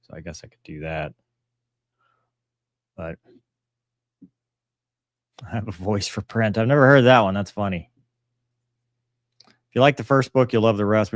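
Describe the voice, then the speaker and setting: A man's voice: lowish voice, no echo, clean studio sound. A man hosting a casual live question-and-answer stream, talking in a rambling, matter-of-fact way that often trails off. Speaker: live stream host answering viewer messages; pilot and author.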